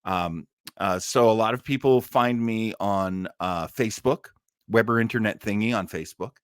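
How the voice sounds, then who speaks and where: A man's voice: fairly low pitch, normal speed, clean tone, no room sound, studio quality. A man hosting an online show, chatting casually in a rambling, folksy, enthusiastic way as he signs off to viewers. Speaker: host of an online listening-party show. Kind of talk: casual livestream sign-off chat.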